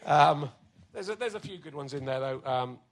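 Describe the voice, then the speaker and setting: A male comedian hosting a live podcast on a theatre stage, chatty and self-mocking, his voice slightly croaky from illness. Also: a little high, expressive, normal speed, a little hiss or hum. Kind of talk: live stand-up banter on stage.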